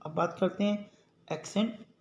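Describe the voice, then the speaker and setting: A male teacher giving an economics lesson, reading English definitions slowly and explaining them quickly. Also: high voice, fairly even intonation, highs cut off. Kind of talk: classroom economics lecture. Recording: echoey room with faint ambient noise.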